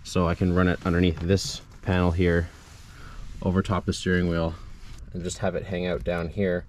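A man's voice: sits low, little pitch movement, moderate pace, a little mellow. A young adult man giving a casual, hands-on tutorial, explaining step by step in an informal way as he works. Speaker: DIY car video host. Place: in and beside a parked car outdoors. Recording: clear background noise, vehicle ambience.

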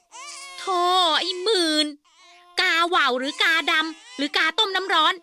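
A woman voicing a hot-tempered young rich man who talks loud, high and petulant, reading a storybook. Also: unhurried, slight background noise, exaggerated voice. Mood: irritated. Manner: loud, high and petulant, sings a children's song.

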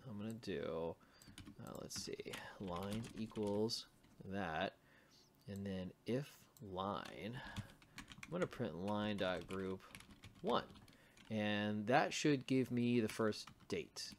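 Male tutorial host, lowish voice, very unhurried, thinks aloud over keyboard typing and clicks. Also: lively intonation, creaky. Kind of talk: casual screencast coding walkthrough. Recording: typing and mouse clicks, faint noise. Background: computer keyboard typing and clicks.

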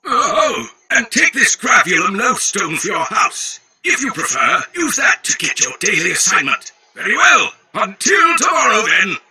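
Excitable wizard scholar from a video game, super bright and swooping all over, theatrical. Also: male voice, high-pitched, normal speed, hardly any pauses, husky, full character voice, rough recording. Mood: pleased, instructive. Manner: animated, theatrical exclamations. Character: excitable eccentric wizard scholar giving quests. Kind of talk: video game character dialogue.

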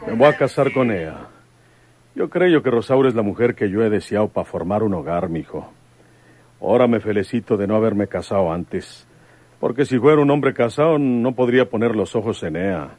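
A man's voice: fairly low pitch, expressive, moderate pace, darkish tone, no room sound. A man playing a fatherly, folksy rural police chief in an old radio drama. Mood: fond, hopeful.

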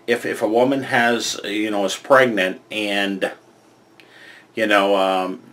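An older American man speaks into a webcam from a small room at home. His voice sits a little low, and he rambles along with plenty of fillers and repeated words. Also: flat delivery, slow, a bit bright. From United States. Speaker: vlogger giving political opinions. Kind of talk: casual monologue to camera. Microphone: webcam framing, head and shoulders.

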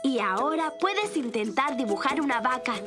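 An actor voicing a cheerful young boy rabbit in a children's cartoon, explaining things simply and clearly. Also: high voice, lively intonation, normal speed. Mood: patient, instructive. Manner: clear, simple, instructive delivery for small children. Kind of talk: children's cartoon dub, drawing instruction. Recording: loud music under the voice. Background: children's cartoon music.

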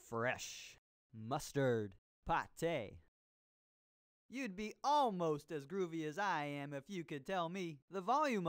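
A man's voice: sits high, exaggerated voice. A man voicing a groovy, flamboyant disco cooking show host in an educational cartoon game, talking in theatrical jive over music. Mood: showy.

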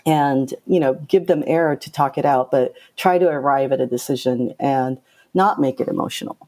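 A middle-aged woman from Japan, a game producer talking in an interview, direct and outspoken with lively storytelling. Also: rumbling low, normal speed. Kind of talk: casual interview talk.

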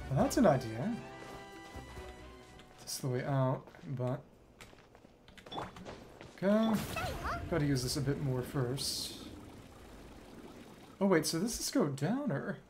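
A man giving casual gameplay commentary as a streamer, thinking aloud in short, halting fragments. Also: fairly high pitch. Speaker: game streamer. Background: video game music.

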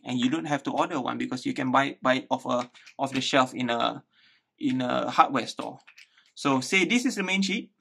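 A man's voice: mid-range voice, gravelly, a bit bright. A man in his thirties explaining a hands-on build casually, thinking aloud and repeating words as he goes. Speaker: DIY tutorial host. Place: small living room indoors.

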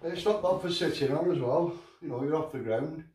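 A man's voice: fairly high pitch, brisk, darkish tone. Middle-aged northern English man, distant and roomy. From England. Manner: rambling, matter-of-fact, hands-on while assembling gear. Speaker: outdoor gear reviewer. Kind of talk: casual product review. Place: living room at home, indoors. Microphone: far camera on a tripod. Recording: roomy, distant camera audio, faint noise.

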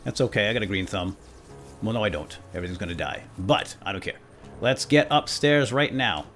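Animated man doing playful game commentary. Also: on the low side, fairly quick, faint music behind. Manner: animated, jokey, talks to viewers and himself, exclaims. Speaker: host of a gaming let's play series. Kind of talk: playful role-play video game commentary.

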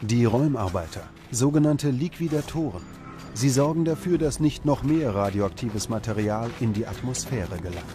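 A man narrates a documentary in a measured, dramatic delivery. His mid-range voice sits over clearly audible background music, carrying the scripted text steadily. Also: medium pitch, lively intonation. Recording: music behind the voice.

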